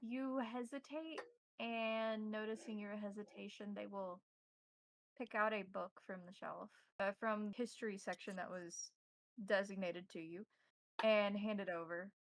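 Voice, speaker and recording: Creaky-voiced woman narrating as game master. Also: mid-range voice, steady tone, poor audio. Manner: deliberate, descriptive. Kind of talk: narrating a tabletop horror game.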